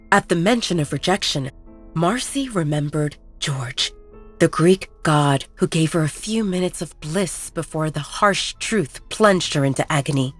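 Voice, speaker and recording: A woman narrates a story in an even, measured manner, her voice low for a woman. Soft background music plays beneath her reading. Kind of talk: scripted audiobook narration.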